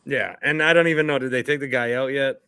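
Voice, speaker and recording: A middle-aged man hosts a sports podcast with an easy conversational delivery. His voice sits at an ordinary male pitch. The sound is very dry, with hardly any room in it. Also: fast talker, pushed and bright, clear, resonant tone, no room sound. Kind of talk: casual sports chat.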